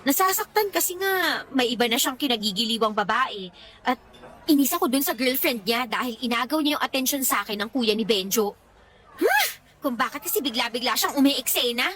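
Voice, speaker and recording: A woman's voice: on the high side, animated, fairly quick, fairly bright tone. A woman playing a petulant, jealous young mother venting to a friend in a Tagalog radio drama, whiny, blunt and slangy. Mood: jealous, annoyed. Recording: music behind the voice.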